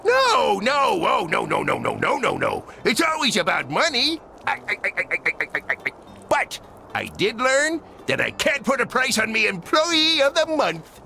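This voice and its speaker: Gravelly, greedy old crab. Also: male voice, very high voice, wildly swooping pitch, normal speed, sharp tone, slight background noise, exaggerated voice. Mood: blustering. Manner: blustering, sly, salty. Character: greedy old crusty cartoon crab, scheming sailor. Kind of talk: animated video game voice acting.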